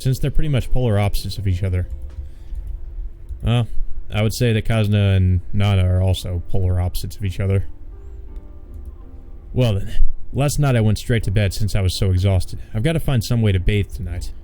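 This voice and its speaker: A man with a lowish, very mellow voice reads a visual novel aloud. He drops in wry, offhand remarks as he plays, and faint music runs underneath. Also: brisk. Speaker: let's player.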